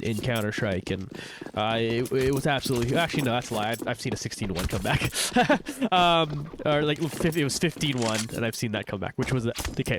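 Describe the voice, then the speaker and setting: A young man with a cold calls a shooter match on his own stream, rambling and chatty as he restarts his sentences. His voice sits mid-range, and loud gunfire and clicks from the game run clearly behind him. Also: mid-range voice, a little hiss or hum. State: has a cold, stuffy. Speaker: esports shoutcaster. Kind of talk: casual play-by-play commentary on a livestream.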